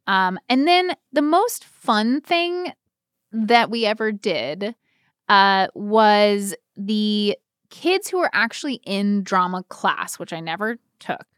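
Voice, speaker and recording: An American woman co-hosting a podcast, telling personal stories in a casual, animated, slangy and self-deprecating way. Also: mid-range voice, slow, smooth, dry recording, studio quality. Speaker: podcast co-host. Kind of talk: casual chat, personal storytelling.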